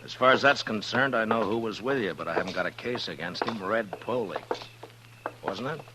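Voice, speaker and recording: An American man acting a stern, world-weary Old West lawman in a 1950s radio western, speaking in terse, measured, authoritative lines. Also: on the low side, expressive, natural voice. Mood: stern, firm. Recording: noisy vintage radio recording with hum.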